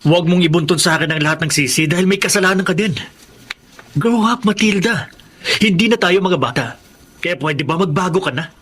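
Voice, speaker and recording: A man's voice: high voice, a little fast, fairly bright tone, slight background noise. A man acting an evasive, defensive husband and father in a Tagalog radio drama. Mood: defensive, irritated.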